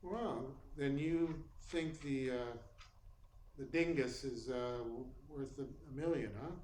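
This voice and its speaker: A man playing a tough, wry private detective in a radio play, talking in a halting, slangy way. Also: mid-range voice, a little mellow, close to an everyday voice. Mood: groggy. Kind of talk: 1940s-style radio play acting. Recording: echoey room, clear background noise.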